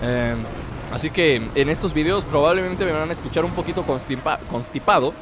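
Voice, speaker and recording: A young man with a Mexican accent, stuffy from a cold, giving playful, jokey commentary over a video game he is playing, breaking into excited shouts. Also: a little high, a little fast, noisy background. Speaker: gameplay commentator. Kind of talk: casual let's-play commentary. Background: game music.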